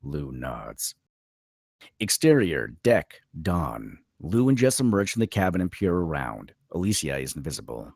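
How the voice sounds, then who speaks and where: A man's voice: rumbling low, very animated, measured pace, darkish tone, slightly rough, dry recording, clean studio sound. A man reading stage directions as narrator at a screenplay table read.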